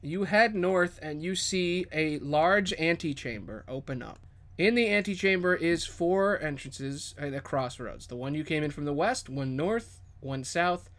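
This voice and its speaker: A man's voice: on the high side, a bit bright. A man narrating a tabletop game as game master, with casual, descriptive storytelling.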